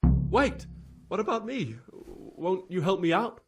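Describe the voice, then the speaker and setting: A man playing a frightened young stable lad in a game cutscene, stammering and halting, with a husky voice. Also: high-pitched, very animated, loud music behind the voice, full character voice. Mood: pleading. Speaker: video game character, witness being questioned. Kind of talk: dramatic game cutscene acting.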